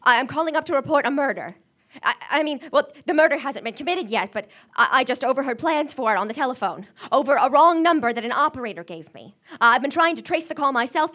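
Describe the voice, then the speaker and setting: A high, piercing voice of a neurotic, self-pitying wife in a suspense radio play. She is imperious and fretful, stumbling over words as she demands help with sharp impatience. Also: lively intonation, fast talker. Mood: anxious, flustered. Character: nervous, imperious, self-pitying invalid wife, easily exasperated. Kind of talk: old-fashioned suspense radio play acting. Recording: live audio theater stage recording.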